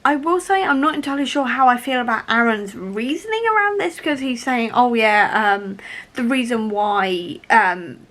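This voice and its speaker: A woman talking casually as a book vlogger, in an animated, emphatic way, repeating key phrases for stress. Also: on the high side, normal speed. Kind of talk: casual reading vlog chat.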